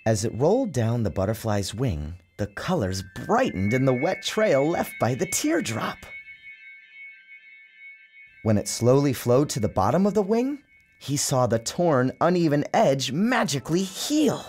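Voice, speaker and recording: A man narrating his own story as a dramatic storybook reading, in a theatrical, measured and expressive manner. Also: medium pitch. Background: music.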